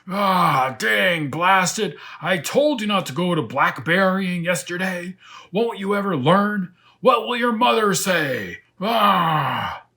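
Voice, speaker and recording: A man voicing a vain, hot-tempered lion in a children's storybook, loud and blustering. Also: sits high, lively intonation, takes it slowly, pitched above the performer's own voice, full character voice. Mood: furious self-scolding. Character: angry, vain, blustering male lion, scolding and boastful.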